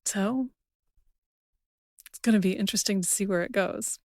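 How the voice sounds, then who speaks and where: A woman's voice: medium pitch, normal speed, no echo. Woman hosting, dry close sound. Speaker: podcast host. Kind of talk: casual interview conversation.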